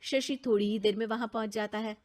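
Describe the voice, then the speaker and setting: A woman's voice: fairly high pitch, steady tone, fast, darkish tone. A woman reading scripted narration for a story.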